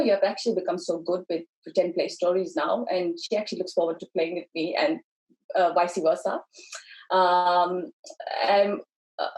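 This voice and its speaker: A woman's voice: mid-range voice, darkish tone, low-quality recording. Chatty woman from India with many ums. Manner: chatty, with frequent ums and restarts. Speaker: podcast guest. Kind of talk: casual interview chat.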